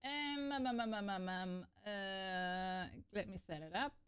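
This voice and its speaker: A woman's voice: mid-range voice, unhurried, a bit bright, clear, resonant tone. A young woman streaming a game, mumbling to herself and making dry sarcastic remarks. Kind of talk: casual gameplay commentary.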